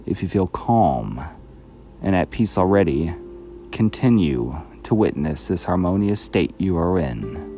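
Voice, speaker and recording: Deep, slow-speaking male meditation guide. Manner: calm, measured, soothing delivery.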